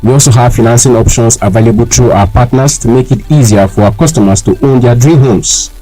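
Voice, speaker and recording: A man's voice: mid-range voice, brisk, mellow, close to an everyday voice, rough recording. A man with a Nigerian accent speaking as a company spokesman in a scripted, formal radio promotion. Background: music.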